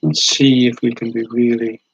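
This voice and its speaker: A man leading a guided meditation in a gentle, soothing, unhurried way, with long pauses between short phrases and a breathy edge to the voice. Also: medium pitch, little pitch movement, no echo, dull audio.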